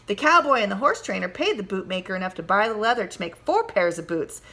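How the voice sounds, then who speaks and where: A woman teacher reading a children's story aloud in an animated, teacherly way. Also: medium pitch. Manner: animated, teacherly, pauses to question listeners.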